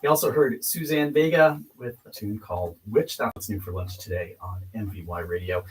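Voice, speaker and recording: A man's voice: lowish voice, brisk, poor audio. A man in his fifties hosting a casual radio chat in a studio. He jokes and often restarts his words. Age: 50s. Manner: friendly, joking, stumbles and repeats words. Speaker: radio host.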